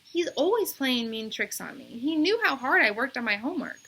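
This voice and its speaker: A woman's voice: high-pitched, sing-song, normal speed, gravelly, bright, forceful tone, breathy edge, voice pushed up, heavily acted. A woman voicing an eager, indignant little schoolgirl in a storybook, exclaiming and complaining plaintively. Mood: aggrieved complaining.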